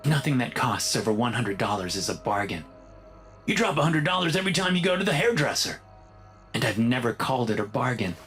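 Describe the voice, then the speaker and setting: A man voicing a skeptical, sharp-tongued wife who scolds, in a narrated story. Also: on the low side, a little fast, few pauses, husky, faint music behind. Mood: skeptical, annoyed.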